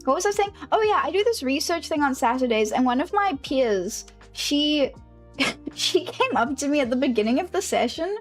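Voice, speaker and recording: A woman's voice: high voice, animated, normal speed, soft background music. A woman from South Africa with a South African English accent, chatting casually on a game stream, rambling and correcting herself as she goes. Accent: South African English, with a British-leaning lilt. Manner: rambling, self-correcting, laughs often.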